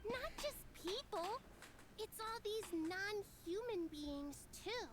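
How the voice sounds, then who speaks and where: A sweet earnest young game girl, super high n lively, noisy ambience behind. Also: slow pace, heavily acted. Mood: gentle, concerned. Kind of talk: video game voice acting. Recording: noisy game audio under livestream.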